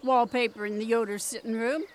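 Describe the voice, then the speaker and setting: A woman's voice: fairly high pitch, fairly even intonation, fairly quick, lightly acted. A woman playing a folksy, doting country mother who scolds and fusses. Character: fussing folksy country mother, full of sayings.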